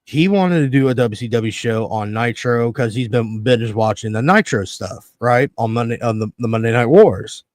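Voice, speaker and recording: Thirties male host, animated and profane, mid-pitched voice, dry recording. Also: moderate pace, few pauses, no echo. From Connecticut, USA. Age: 30s. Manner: excitable, swears freely, laughs often. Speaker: podcast host. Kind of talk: casual wrestling chat.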